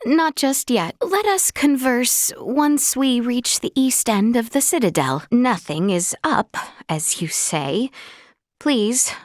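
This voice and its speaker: An actress playing an earnest, gracious young princess in a fantasy video game, with a clear youthful voice and formal, measured, archaic courtly phrasing. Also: female voice, on the high side, lively intonation, no echo, studio quality. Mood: gentle, hesitant. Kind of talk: scripted video game voice acting. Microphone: close studio mic, dry.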